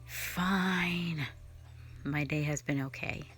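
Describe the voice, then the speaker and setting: A woman's voice: low voice, very slow, a bit bright, faint music behind, full character voice. A woman voicing a cold, sardonic female slayer who is not human, talking in a dismissive, mocking, blunt way in an audio roleplay drama. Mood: scornful.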